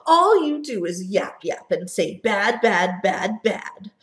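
A woman voicing a greedy, stubborn male factory owner who shouts back defiantly. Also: medium pitch, very animated, slow pace. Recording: echoey room.

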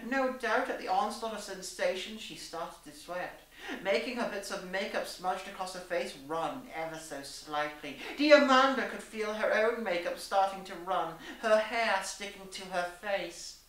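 Young woman doing a dramatic story reading, bright sharp voice, kinda echoey and far from the camera mic. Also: mid-range voice. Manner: theatrical, deliberate, with emphatic character dialogue. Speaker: reader and host in costume. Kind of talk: dramatised reading of fan fiction. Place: small plain room indoors. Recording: roomy echo, distant camera microphone.

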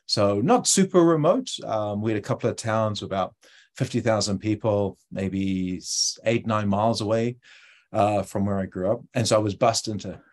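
A man's voice: on the low side, moderate pace, darkish tone. A man with a New Zealand accent giving an informal talk as a guest speaker over a video call, with hesitant, conversational phrasing. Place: indoor room on a video call.

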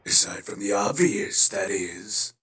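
A man playing a sardonic, swaggering villainous robot warlord in an animated fan dub, mocking and theatrical in his drawl. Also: fairly low pitch, a little slow, slight background noise. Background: musical score.

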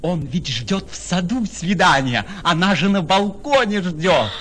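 A man's voice: sits high, lively intonation, measured pace, fairly bright tone, breathy edge, full character voice. An actor playing an ardent, gallant young nobleman posing as a dancing master, declaiming verse theatrically in a radio play. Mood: resolute. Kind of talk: Russian radio play acting. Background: music.

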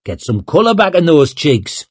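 A man's voice: on the high side, very animated, normal speed, dry recording, voice pushed up. A man voicing a big, easygoing, teasing northern warrior in an audiobook. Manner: muttering, teasing.

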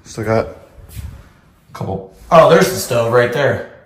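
A man's voice: mid-range voice, expressive, a little slow. Man rambling casually over noisy handheld recording. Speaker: host of a house tour vlog. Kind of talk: casual vlog commentary. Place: walking through empty new houses and outside. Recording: handheld camera with clear background noise.

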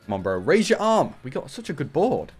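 A young man, hoarse from a cold, giving live commentary on a slots stream through a headset and jumping up loudly at big wins. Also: fairly high pitch, huge pitch swings, fairly quick, soft background music. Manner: shouts and rises sharply at big wins. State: raspy from a cold.

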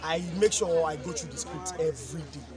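A man's voice: fairly high pitch, fairly quick, music in the background. A young man, a stage actor, chatting casually in an outdoor interview. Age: young adult. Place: open outdoor yard among crowd. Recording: loud crowd chatter outdoors.

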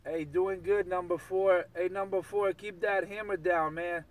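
A man with a high voice banters over CB radio. He sounds distant from the microphone. His talk is slangy and repetitive, looping catchphrases. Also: fairly even intonation, a little slow. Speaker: CB radio operator. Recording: distant CB radio transmission, outdoor vehicle sound.

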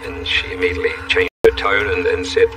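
Brief: low, lively-voiced survivor recalling events, distant mic, music under. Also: male voice, brisk. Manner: plain, reflective storytelling. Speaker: crash survivor interviewee. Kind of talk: documentary interview recollection.

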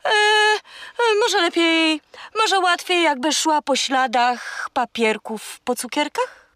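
A woman's voice: extremely high pitch, takes it slowly, fairly bright tone, no echo, studio quality, full character voice. A woman voicing a chatty, scatterbrained, childlike female seagull in a children's audio drama.

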